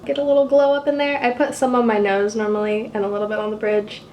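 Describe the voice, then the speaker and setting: Chatty young woman with a low, husky voice. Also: little pitch movement, fast, a little mellow, roomy sound, slight background noise, poor audio. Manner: chatty and self-mocking, swears casually. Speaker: YouTuber doing a makeup tutorial. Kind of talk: casual sit-down chat while doing makeup. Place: apartment room.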